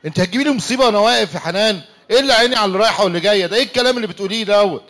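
A man's voice: high-pitched, expressive, very fast, a bit bright. A young man with an Egyptian accent playing an easygoing, defensive husband in a church radio comedy-drama, protesting in a pleading, exasperated way. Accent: Egyptian Arabic.

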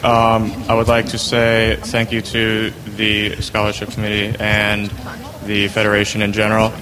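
A man who is a college senior, giving a casual, slightly halting speech at a convention. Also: lowish voice, completely flat delivery, noisy background.

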